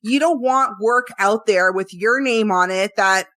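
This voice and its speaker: A woman hosting a casual music interview, upbeat and quick to affirm her guest. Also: medium pitch, pushed and bright, no room sound, studio quality. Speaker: interview host.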